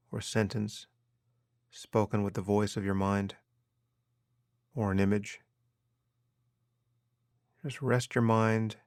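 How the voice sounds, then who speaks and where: A man guiding a meditation as a teacher, giving measured instructions with unhurried pauses. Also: fairly low pitch, no room sound, clean studio sound. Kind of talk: guided meditation narration.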